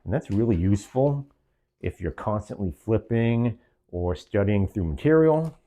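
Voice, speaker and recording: A man with a low-pitched, dark and relaxed voice walks through a product demonstration. He sounds like an online reviewer, explanatory and orderly, listing features in short phrases. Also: a little slow.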